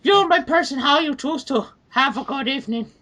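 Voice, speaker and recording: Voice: high-pitched, brisk, bright, forceful tone. A speaker reading written comments aloud in a dramatic reading, with prim, formal wording.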